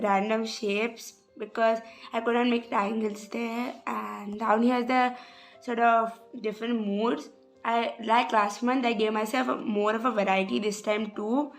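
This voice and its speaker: Young woman with a slightly rough voice. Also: on the high side. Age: young adult. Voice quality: hesitant, many "sort of" fillers. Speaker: journal vlogger. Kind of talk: casual craft walkthrough voice-over.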